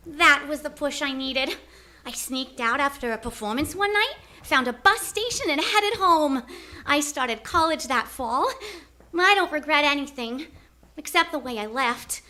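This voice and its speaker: A woman playing a feisty former circus acrobat with snappy, slangy, expressive delivery in a live radio drama. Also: high voice, normal speed. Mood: wistful, candid. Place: theater stage.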